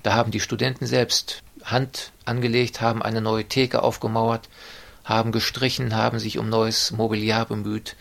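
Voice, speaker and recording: A man giving measured, formal interview answers with frequent hesitations. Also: lowish voice, monotone, vocal fry. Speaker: interviewee describing the student residence.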